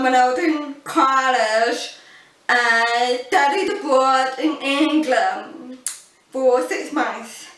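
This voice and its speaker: A woman's voice: high voice, fairly bright tone, distant mic. Young woman with a deaf accent. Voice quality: open-mouthed, heavy emphasis on sounds. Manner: slow, earnest, with frequent ums. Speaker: vlogger. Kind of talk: casual storytelling to camera. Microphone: built-in camera mic. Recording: roomy, off-mic camera audio.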